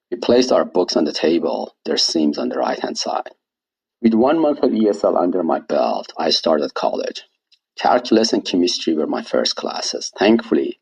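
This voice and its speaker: A man, reading his own personal essay aloud in a measured, wry way. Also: a bass voice, gravelly, a little mellow, husky, rough recording. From Iran. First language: Persian. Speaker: guest storyteller.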